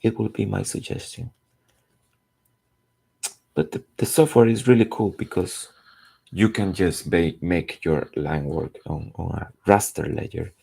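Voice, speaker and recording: A man in his forties from Costa Rica, a native Spanish speaker with a Costa Rican accent and a gravelly, husky voice, chatting casually and searching for words as he draws. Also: sits low, fairly even intonation, unhurried, pauses often, a little mellow. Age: 40s. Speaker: comic artist streaming his drawing. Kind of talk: casual chat while drawing.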